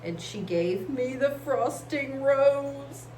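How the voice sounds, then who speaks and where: A woman's voice: sits high, expressive, slow pace, darkish tone, faint music behind, voice pushed up. A woman voicing a good-natured, easily pleased male toy buffalo in a storybook, eager and simple. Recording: echoey room with faint hiss.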